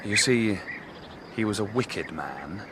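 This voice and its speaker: An actor playing an earnest, troubled detective burdened by a secret in a radio drama, intense and hesitant. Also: male voice, lowish voice, expressive, takes it slowly, background noise. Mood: grave, wistful.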